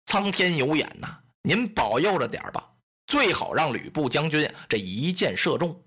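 A storyteller voicing a gentle, cautious lord who sounds fretful and pleading, softer and lower than the narration. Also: sing-song, moderate pace, slightly rough, heavily acted. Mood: praying.

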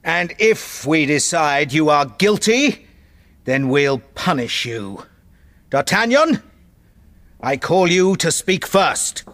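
A woman voicing a stern, commanding male musketeer leader with solemn, measured speech. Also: low voice, animated, a bit bright, exaggerated voice. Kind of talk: audiobook character voice.

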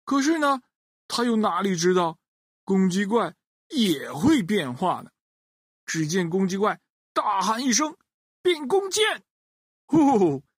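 A woman's voice: mid-range voice, husky, dry recording, studio quality. A woman telling a children's story expressively, addressing young listeners directly with playful questions and little interjections.

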